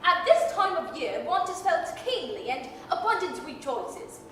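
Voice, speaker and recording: A woman's voice: very high-pitched, very animated, measured pace, fairly bright tone, exaggerated voice. A woman playing an earnest, polite charity collector, pleading courteously in radio drama style. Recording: echoey hall, distant, faint noise.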